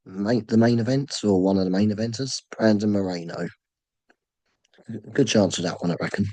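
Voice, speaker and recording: A man whose voice is worn down by a sore throat hosts a casual online draw from his computer. He speaks low and haltingly, stopping often for ums and uhs. The sound is close and dry, with no room echo. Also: dark tone. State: sore throat, voice nearly gone. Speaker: host running a fantasy draw. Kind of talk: casual screen-share commentary.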